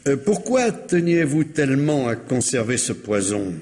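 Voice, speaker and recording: An actor playing a courteous, insistent police commissioner who repeats himself in measured questioning, in old radio mystery style. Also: male voice, mid-range voice, sing-song, a little mellow. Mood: probing. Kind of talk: 1950s French radio mystery acting. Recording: reverberant hall-like radio drama sound.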